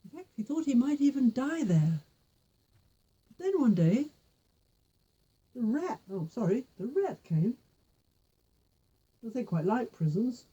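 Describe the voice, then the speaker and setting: A woman's voice: fairly high pitch, very animated, slow, long pauses, dark tone, breathy edge, muffled recording. A woman telling a folk tale informally, with repetition and little spoken asides. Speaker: storyteller with puppet figures.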